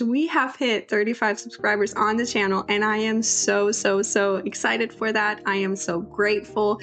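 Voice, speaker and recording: Young woman w/ sore throat, raspy, rambling friendly voiceover, soft music underneath. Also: mid-range voice. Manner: chatty, apologetic, with frequent restarts. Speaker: YouTube creator. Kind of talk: casual voiceover over a speed build. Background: faint background music.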